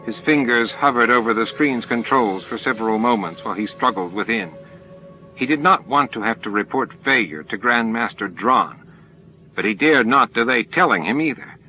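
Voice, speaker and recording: A man's voice: mid-range voice, lively intonation, moderate pace. A man reading scripted dramatic narration as an audiobook narrator. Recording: dull lo-fi tape with music.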